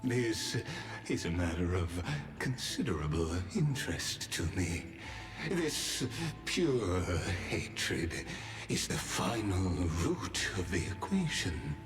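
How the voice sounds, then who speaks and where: An actor voicing a suave, menacing male schemer who speaks in a measured, theatrical way in a game cutscene. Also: low voice, very animated, music in the background, exaggerated voice. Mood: menacing calm. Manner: measured, theatrical, quietly threatening.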